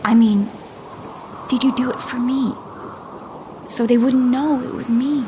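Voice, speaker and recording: A woman playing a troubled, guilt-ridden young bride in an audio drama, intense and halting, often dropping to whispers. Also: fairly high pitch, steady tone, noisy background, natural voice. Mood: anguished, fearful. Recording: clear ambient sound behind voice.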